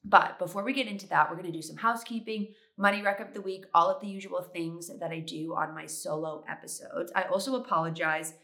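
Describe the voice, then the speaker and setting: A woman's voice: on the low side, steady tone, brisk, few pauses, pushed and bright. A young woman hosting a solo podcast in a chatty, upbeat way, congested from allergies. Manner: chatty, upbeat, many fillers. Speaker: podcast host.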